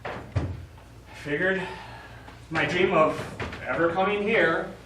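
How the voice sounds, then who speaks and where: An actor playing an eager, dreamy American astronaut in a stage play, with a dramatic and emphatic delivery. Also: male voice, high-pitched, takes it slowly, sharp tone, full character voice. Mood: wistful, excited. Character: eager American male astronaut, dreamy, joking mission leader. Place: small studio theatre, recorded from afar. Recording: very echoey, noisy, distant stage recording.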